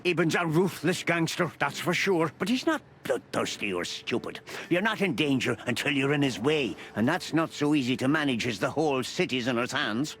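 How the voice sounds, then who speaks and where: Folksy old beaver, high animated pitch. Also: male voice, normal speed, few pauses, faint music behind. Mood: defiant, proud. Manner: folksy, chuckling, avuncular. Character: jovial old beaver newspaper seller, folksy and streetwise. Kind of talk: noir adventure game voice acting.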